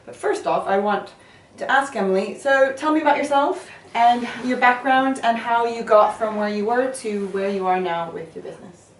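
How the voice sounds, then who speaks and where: A woman's voice: a little high, normal speed. Woman host, friendly interviewer manner, distant mic, roomy echo. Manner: upbeat and encouraging, with frequent short affirmations. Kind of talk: casual interview. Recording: echoey room, mic at a distance, faint noise.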